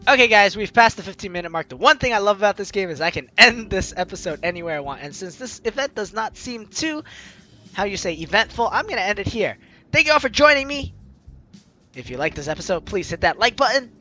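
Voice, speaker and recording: High-pitched, very animated man doing Let's Play. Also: brisk, soft background music. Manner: animated, jokey, theatrical. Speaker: gaming video host. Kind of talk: playful Let's Play commentary and dramatic read-aloud.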